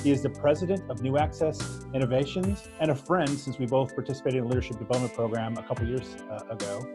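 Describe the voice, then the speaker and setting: Male interview host, measured and formal, mid-pitched, music playing under him. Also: steady tone. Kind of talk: welcoming introduction and questions.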